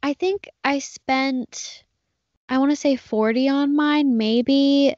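A woman's voice: high-pitched, fairly even intonation, slow, a little mellow, no room sound, muffled recording. A young woman co-hosting a podcast, chatting casually with a bubbly, enthusiastic, slangy manner. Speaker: podcast co-host. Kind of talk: casual chat.

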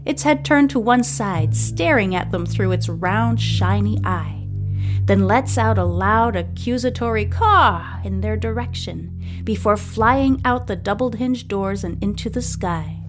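A woman host reading a story in a measured, scripted audiobook style. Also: mid-range voice, expressive. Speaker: podcast host and book narrator. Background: music.

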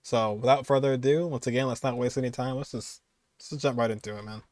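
A man's voice: medium pitch, steady tone, fast talker, darkish tone, dry recording. A man, giving informal, conversational reaction commentary. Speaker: reaction video host. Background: music.

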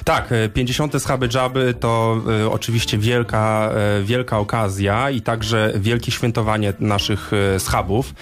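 A man's voice: on the low side, steady tone, unhurried, few pauses. A man hosting live radio with casual banter, speaking in a hesitant, rambling, jokey way. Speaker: radio host. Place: radio studio.

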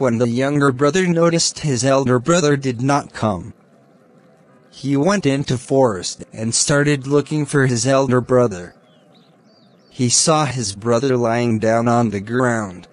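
A man playing a kind, helpful young man in a children's cartoon, speaking in simple, measured scripted lines. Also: mid-range voice, lightly acted.

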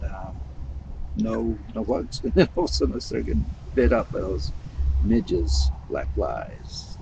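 An older man casually narrating his camping trip, relaxed and rambling with many ums and uhs, recorded outdoors at a campsite. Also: fairly low pitch, creaky, dull audio, noisy background. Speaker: camping vlogger.

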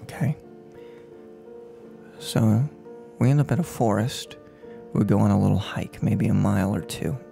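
A man in his twenties playing a soft-spoken, unhinged serial killer in a menacing, mock-polite ASMR role play, close to the microphone. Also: on the low side, a little slow, gravelly, pauses often, soft background music. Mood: brooding, measured. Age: 20s. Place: room at home. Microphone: close desk microphone on boom arm.

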